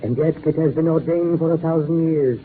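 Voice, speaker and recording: A man's voice: a little high, close to an everyday voice. Man acting an old, solemn mystic sorcerer. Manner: formal, solemn, measured. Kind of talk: 1940s radio acting. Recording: noisy narrow-band 1940s radio recording.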